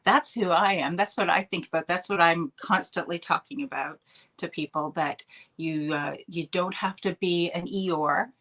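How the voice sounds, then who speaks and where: A woman's voice: lowish voice, moderate pace, fairly bright tone. Upbeat Canadian woman, chatty guest. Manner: chatty, upbeat, laughs often. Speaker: podcast guest, healer and mentor. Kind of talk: casual interview chat.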